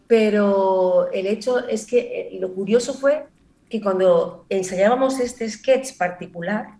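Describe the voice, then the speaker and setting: Woman w barcelona accent, comedy writer chatting, lively storyteller, laughs a lot. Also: mid-range voice, measured pace, faint music behind. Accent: Barcelona Spanish. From born in Granada, raised in Barcelona. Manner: lively storyteller, laughs often, many restarts. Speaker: comedy writer and performer, guest. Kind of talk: casual interview conversation.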